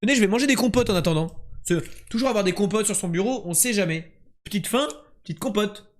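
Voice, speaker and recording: A man's voice: high-pitched, lively intonation, fast. A young man from France streaming word and geography games, joking and boasting as he chats with his viewers. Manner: joking, boastful, chatty with the chat. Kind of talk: casual live-stream commentary.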